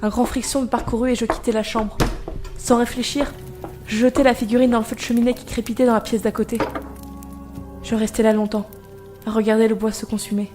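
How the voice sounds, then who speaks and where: An actress playing an anxious young mother, telling an eerie tale in measured first-person narration for an audio drama. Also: on the high side, flat delivery, a little mellow, soft background music, natural voice. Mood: fearful.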